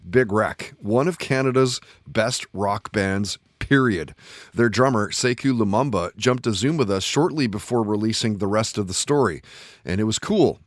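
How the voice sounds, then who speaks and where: A man's voice: lowish voice, no echo, studio quality. A man hosting a podcast, delivering scripted narration that introduces a guest. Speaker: podcast host.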